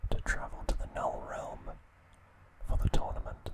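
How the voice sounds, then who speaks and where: A man whispering an ASMR recap narration in short, hushed, unhurried phrases.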